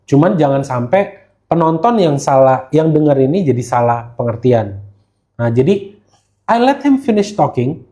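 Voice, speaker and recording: A mellow-voiced Indonesian man speaks as a podcast guest. His pitch sits a little high for a man. He talks in a casual, slangy way and drops English phrases into his sentences. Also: big pitch swings, moderate pace. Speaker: guest gemologist who hosts live streams.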